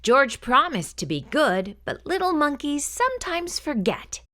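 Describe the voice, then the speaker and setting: A woman hosting a children's storytime, reading a picture book aloud in an expressive, sing-song way. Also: high voice, a little slow.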